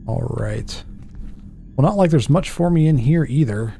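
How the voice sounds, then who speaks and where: A man's voice: mid-range voice, expressive, measured pace, vocal fry, warm tone, soft background music. A man in his thirties giving casual let's play commentary, thinking aloud and asking himself questions as he goes. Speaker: gaming YouTuber. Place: indoor home setup.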